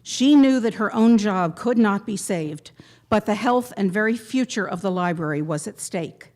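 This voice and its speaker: A woman giving a prepared memorial tribute to a gathering, speaking in measured, deliberate phrases with pointed pauses. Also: mid-range voice, expressive, a little mellow. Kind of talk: prepared eulogy read aloud to a gathering.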